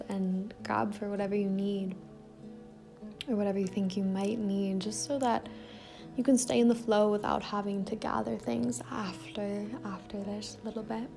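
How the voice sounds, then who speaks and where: A woman leading an impromptu guided meditation in a soft, gentle voice that often trails toward a whisper. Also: mid-range voice, level tone, unhurried, gravelly, over music. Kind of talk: impromptu guided meditation with casual rambling introduction.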